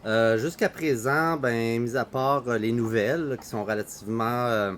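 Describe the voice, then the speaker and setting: A man's voice: mid-range voice, normal speed. Québécois man hosting a podcast. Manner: relaxed, hesitant, many fillers. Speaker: podcast host. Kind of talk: casual podcast conversation. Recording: faint background noise.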